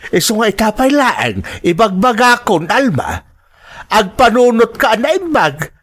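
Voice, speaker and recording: A man's voice: high-pitched, big pitch swings, unhurried, fairly bright tone, full character voice. A man with an Ilocano accent acting a stern, disapproving father in a radio drama. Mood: scornful, threatening.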